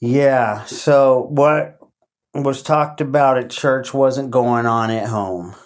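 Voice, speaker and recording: Slow, halting man telling his story. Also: medium pitch, steady tone. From Latin America. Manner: halting, many fillers and restarts. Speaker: podcast guest. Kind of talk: casual interview.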